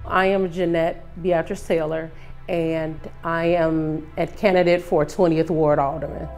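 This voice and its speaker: Middle-aged female candidate, American, low-leaning voice, personal testimony over a light music bed. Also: little pitch movement, measured pace, darkish tone. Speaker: city council candidate. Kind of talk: personal testimony in a campaign video.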